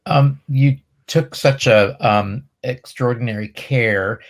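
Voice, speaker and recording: A man moderating a question and answer session in a thoughtful, conversational way, phrasing his questions in a measured, halting manner. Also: mid-range voice. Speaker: film festival Q&A moderator.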